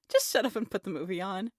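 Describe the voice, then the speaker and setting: A woman playing an affectionate, playful young woman prone to overthinking, in an intimate roleplay audio drama, with soft, teasing, expressive delivery. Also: medium pitch, no echo.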